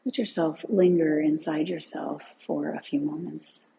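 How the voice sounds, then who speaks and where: Low, creaky-voiced woman guiding relaxation. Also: fairly even intonation, muffled recording, background noise. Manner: gentle, unhurried with long pauses. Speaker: meditation and yoga teacher. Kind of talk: guided relaxation instruction.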